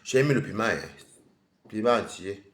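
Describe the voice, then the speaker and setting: A man's voice: on the low side, normal speed, roomy sound, very noisy. Chatty man with a cold. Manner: chatty and rambling, addressing viewers directly. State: has a head cold. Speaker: live-stream host. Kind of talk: casual live-stream chat while eating.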